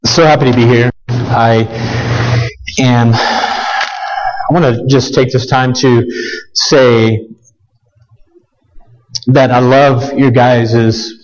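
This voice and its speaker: A man's voice: mid-range voice, steady tone, extremely slow, long pauses, dull audio. A man, preaching as a guest at a church, talking casually and humbly with restarts. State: scratchy voice with a cough. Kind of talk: sermon. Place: church sanctuary.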